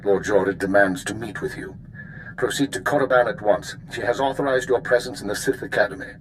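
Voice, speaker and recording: An actor playing a stern Imperial intelligence superior in a video game, giving a formal, authoritative briefing. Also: male voice, fairly low pitch, brisk, slight background noise, natural voice, low-quality recording. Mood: stern, official. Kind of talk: scripted game dialogue.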